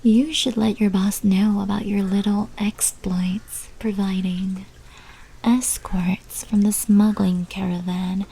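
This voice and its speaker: A woman playing a sly, menacing vampire mafia manager in an ASMR roleplay, speaking in a hushed voice that often drops into whispers. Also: mid-range voice, little pitch movement, slow pace, heavily acted. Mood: guarded, defensive. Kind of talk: ASMR roleplay, scripted character monologue. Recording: clearly noisy background.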